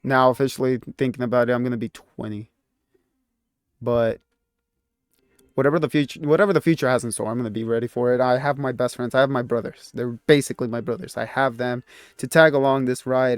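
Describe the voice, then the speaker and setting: A man's voice: medium pitch, fairly even intonation, fairly quick, smooth, no echo. A man of twenty talking casually to his channel, rambling and restarting his sentences and laughing at himself now and then. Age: 20s. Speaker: YouTuber. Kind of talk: casual reflective vlog talk.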